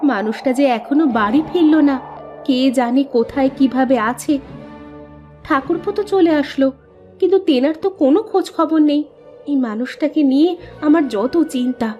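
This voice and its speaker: Woman as a very high-pitched anxious village wife. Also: lively intonation, moderate pace, dark tone, lo-fi sound, soft background music. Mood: worried. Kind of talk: cartoon dialogue acting.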